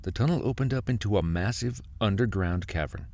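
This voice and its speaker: An actor playing a desperate husband, narrating a survival horror audio drama with intense, halting suspense. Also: male voice, fairly low pitch, a little mellow, a little hiss or hum, lightly acted. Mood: grim suspense. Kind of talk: dramatic first-person horror narration and dialogue. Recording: music under the voice. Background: music.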